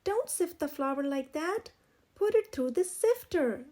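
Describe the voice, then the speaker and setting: A woman's voice: high-pitched, animated, measured pace, mellow. A woman voicing a big brown male rooster in a storybook, fed up but proud, with an emphatic, exclaiming manner. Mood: exasperated, bossy.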